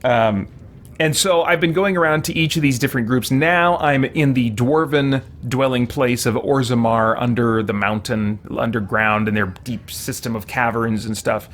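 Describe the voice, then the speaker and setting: A man's voice: mid-range voice, steady tone, moderate pace, a bit bright, a little hiss or hum. A man giving casual, rambling, self-deprecating gameplay commentary as a streamer. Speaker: streamer, let's play host. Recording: music playing behind the voice. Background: game music.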